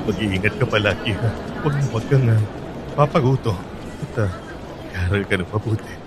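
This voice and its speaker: A man's voice: fairly low pitch, fairly quick, loud music behind the voice, lightly acted. A man acting as a hardworking father in a radio drama, narrating his troubles and arguing with his wife, earnest and frustrated. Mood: tender. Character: hardworking father, weary and bitter.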